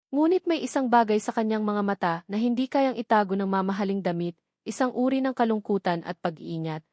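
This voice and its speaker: A woman's voice: medium pitch, darkish tone, no echo. Female audiobook narrator, Filipino accent, dramatic scripted storytelling, mid-range voice in a dry close recording.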